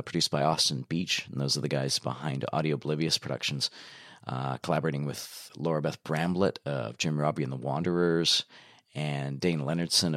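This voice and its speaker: A man's voice: very deep voice, pushed and bright, no room sound, clean studio sound. A young Canadian man, a dialogue editor, chatting in an upbeat way in an interview, with many fillers. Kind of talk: casual interview talk.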